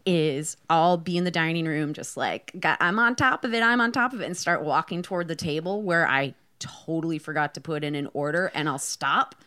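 A woman's voice: sits low, brisk, few pauses, bright, forceful tone, clear, resonant tone. A woman co-hosting a casual podcast, blunt and sweary, telling anecdotes and acting out remembered dialogue. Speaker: podcast co-host.

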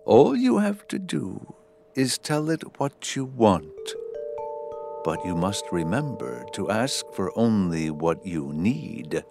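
An actor playing a wise, kindly old man in a children's radio play, speaking deliberately and gently instructive. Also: fairly low pitch, very animated, gravelly, over music, full character voice. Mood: wise, solemn.

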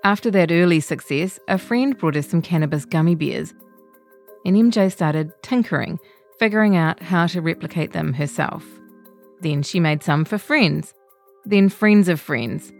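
A woman's voice: lowish voice, normal speed, creaky, darkish tone, faint music behind. A female reporter reading her own long-form feature as scripted narration.

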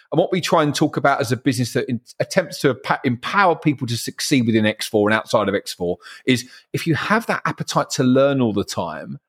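A man hosting an interview, asking long, energetic questions full of restarts. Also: mid-range voice. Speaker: interview host.

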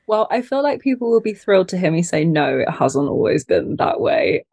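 A woman's voice: fairly low pitch, big pitch swings, normal speed, husky, no room sound. Podcast guest, woman: soothing voice, self-deprecating, laughs easily, dry close mic. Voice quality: soft, soothing voice. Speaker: guest, content creator. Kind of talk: casual podcast conversation.

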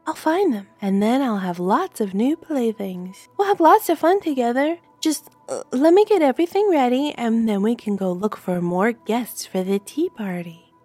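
A creepy little girl coos and coaxes in a high, bouncing, childish sing-song, as a woman puts her on. Sweet on the surface and sinister underneath, she fits a spooky storybook read aloud. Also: lively intonation, moderate pace, few pauses, darkish tone, slightly rough, no room sound, faint music behind. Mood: gleeful.

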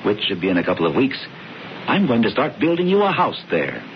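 Confident, coaxing radio-drama schemer, animated. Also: male voice. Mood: upbeat, reassuring. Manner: assured, coaxing, brisk. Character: George, confident, scheming, unflappable brother. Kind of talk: 1970s radio drama acting. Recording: dull radio broadcast, faint rumbling noise.

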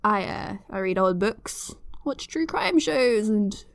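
A woman's voice: mid-range voice, expressive, slow, no room sound. A woman playing a self-deprecating, nerdy podcaster in her twenties, rambling chattily with hesitant asides in an audio drama. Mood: upbeat, awkward. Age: 20s.

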